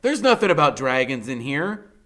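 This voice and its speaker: A man voicing a big, easygoing, slow-witted boy in a children's novel, talking plainly and with some puzzlement. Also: medium pitch, very animated, a bit bright, pitched above the performer's own voice. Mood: puzzled.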